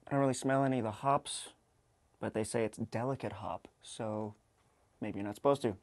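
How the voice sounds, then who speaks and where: A man, hosting a casual tasting in a halting, wry way. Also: fairly low pitch, no echo. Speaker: host of a beer tasting show. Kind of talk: casual unscripted tasting commentary. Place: kitchen studio, indoors.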